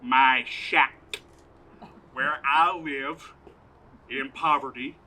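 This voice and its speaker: A man's voice: medium pitch, fairly even intonation, drawn-out words, sharp tone, full character voice. A man playing a folksy, grumbling, chauvinist farmer husband in a comedic stage radio play. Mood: hesitant, earnest. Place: outdoors, parking lot stage. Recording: outdoor live stage performance.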